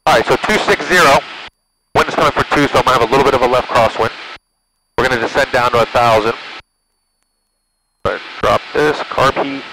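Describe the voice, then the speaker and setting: A man's voice: mid-range voice, fairly quick, pauses often. An American man piloting a small plane, chatting casually and explaining as he goes between radio calls over a headset. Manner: explains things as he goes, checking with his listener. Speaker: pilot and vlogger. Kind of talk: casual cockpit chat mixed with radio calls. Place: small propeller plane cockpit in flight. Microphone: aviation headset. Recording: cockpit headset intercom, engine hum.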